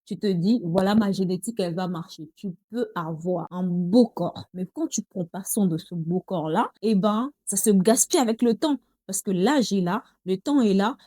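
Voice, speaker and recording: Young congolese woman vlogging, chatty, calls everyone girls, warm mellow voice, dry close sound. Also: medium pitch, moderate pace, few pauses, dry recording. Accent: Congolese French. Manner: chatty, addresses viewers as girls. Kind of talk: casual talk to camera.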